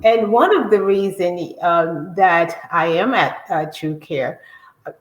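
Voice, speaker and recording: A woman's voice: lowish voice, takes it slowly, reverberant, muffled recording. A woman raised in Guyana, hosting a podcast and telling her story in an earnest, conversational way. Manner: earnest, storytelling, some restarts. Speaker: podcast host. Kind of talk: casual conversational podcast talk.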